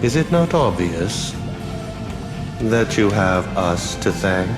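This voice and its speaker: An actor voicing a grandiose, scheming, power-hungry villain in a video game, pompous and theatrical with a sneering delivery. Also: male voice, fairly low pitch, sing-song, slow, long reverb tail, over music. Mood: smug, condescending. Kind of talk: dramatic video game voice acting.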